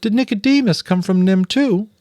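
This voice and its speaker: A man voicing a polite, earnest adult female creature in an audiobook, puzzled and gently reassuring. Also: high voice, moderate pace, no echo, clean studio sound, pitched above the performer's own voice, close to an everyday voice. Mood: curious.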